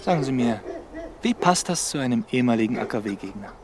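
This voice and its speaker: A man's voice: medium pitch, expressive, brisk, a crowd in the background, natural voice. A man playing a dogged police detective in a radio crime drama, questioning persistently with dry irony. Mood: probing, ironic. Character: dogged male police detective, ironic and probing.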